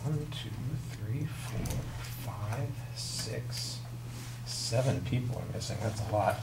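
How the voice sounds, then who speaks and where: A man's voice: medium pitch, slow, creaky. Male instructor in a noisy classroom. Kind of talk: casual classroom management. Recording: noisy classroom recording with room ambience.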